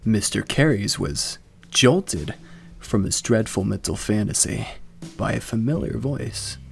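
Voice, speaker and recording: A man narrating scripted fiction in a measured, dramatic storytelling way. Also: on the low side, vocal fry, husky.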